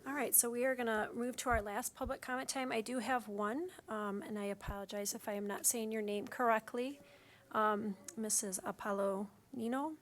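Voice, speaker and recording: A woman's voice: a little high, steady tone, moderate pace. A woman chairing a school board meeting, speaking in a polite, procedural way.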